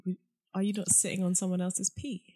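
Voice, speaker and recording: Husky, low-voiced upbeat woman interviewer. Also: level tone, dark tone. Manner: upbeat, reacting with quick interjections. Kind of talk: casual interview conversation.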